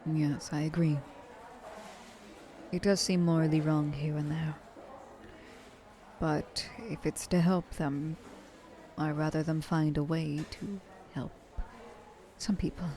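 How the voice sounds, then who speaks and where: A woman's voice: low voice, steady tone, slow, pauses often, warm tone, a little hiss or hum. A woman voicing an ancient, seductive, possessive vampire trillionaire in an intimate, poised ASMR roleplay. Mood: calm, reflective.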